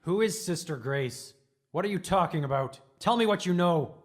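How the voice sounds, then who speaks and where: A man's voice: fairly high pitch, lively intonation, moderate pace, natural voice. A man playing a bewildered amnesiac prisoner in an improvised radio comedy, with a melodramatic, urgent, questioning delivery. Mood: demanding, confused. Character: bewildered amnesiac prisoner desperately clinging to sanity.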